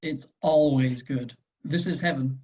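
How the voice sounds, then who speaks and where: A performer reading the part of a friendly, gently teasing man in his late twenties, with a husky voice, at a script table read. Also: mid-range voice, unhurried, muffled recording, close to an everyday voice.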